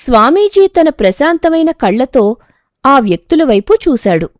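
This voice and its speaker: Female storybook narrator: high-pitched, animated, expressive scripted delivery, dry close recording. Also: normal speed, dry recording.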